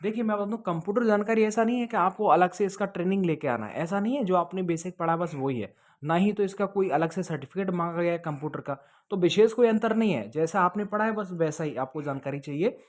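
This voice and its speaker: A man's voice: sits high, fast. A man answering viewers' questions in a casual, advising way, often checking that the listener follows. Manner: conversational, advising, often checks with "okay?" and "understood?". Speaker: host answering viewers' questions about police jobs. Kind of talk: casual explanatory Q&A to camera.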